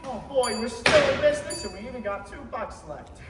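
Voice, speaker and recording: Male student actor as earnest young businessman, radio play, very high voice, urgent pleading, distant mic. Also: a little fast. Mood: excited. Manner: urgent, emphatic, exclamatory. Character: earnest, pleading young building-and-loan boss. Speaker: high school stage actor. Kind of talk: theatrical radio play acting. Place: school theater stage. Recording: echoey hall from far off, noisy.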